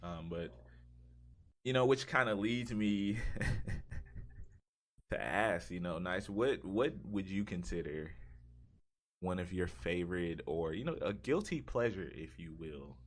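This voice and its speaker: A man with a low voice talks as a podcast co-host in casual conversation. He is informal and slangy, joking his way through his opinions. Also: animated, takes it slowly, pauses often. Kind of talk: casual chat about video game movies.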